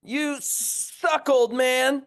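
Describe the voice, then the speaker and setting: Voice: high-pitched, fairly even intonation, drawn-out words, a bit bright, full character voice. An actor voicing a cheeky ten-year-old boy who stammers, in a script table read. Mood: taunting.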